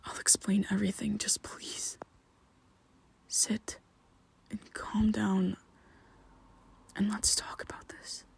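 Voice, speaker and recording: A man voicing a young vampire who is his listener's best friend, speaking in a soft, breathy half-whisper with hesitant restarts, in an ASMR roleplay. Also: high-pitched, completely flat delivery, takes it slowly, pauses often, exaggerated voice. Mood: pleading, defensive. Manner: hesitant, stumbling restarts, pleading.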